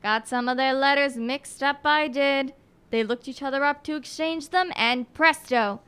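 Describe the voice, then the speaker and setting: A woman's voice: sits high, moderate pace, a bit bright. A woman playing a nosy, chatty, boastful village postman in a radio drama, with a folksy, cheeky manner.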